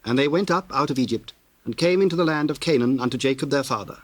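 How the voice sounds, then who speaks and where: A man narrating dramatized scripture in a measured, solemn and formal manner. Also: mid-range voice.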